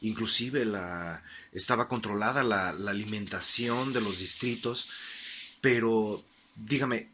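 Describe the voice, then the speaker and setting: A man playing a formal history-programme host in a radio drama, interviewing with hesitant pauses. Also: on the low side, noisy background, close to an everyday voice. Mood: earnest, inquiring. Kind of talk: scripted radio interview in a fiction podcast.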